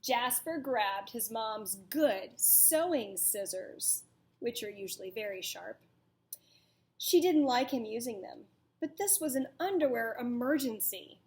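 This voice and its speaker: Slow, high-voiced woman reading a storybook. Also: animated. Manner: deliberate, dramatic storytelling delivery. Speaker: teacher reading aloud to children. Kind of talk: expressive picture-book read-aloud with questions to children.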